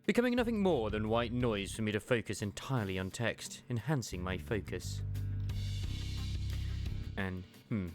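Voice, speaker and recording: Man voicing a low-voiced, bookish, wistful game swordsman. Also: expressive, close to an everyday voice. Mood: quietly content. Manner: formal, archaic, measured, literary phrasing. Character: bookish, reserved, wistful male martial archivist, gently contemplative. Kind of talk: fan dub of game character monologue. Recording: music under the voice.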